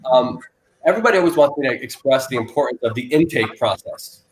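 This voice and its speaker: A man hosting an animal rescue live stream, talking loudly in a rambling way full of restarts and fillers. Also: mid-range voice, animated, fast, roomy sound, a little hiss or hum, low-quality recording. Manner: loud, self-described loud person. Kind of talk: casual live-stream interview.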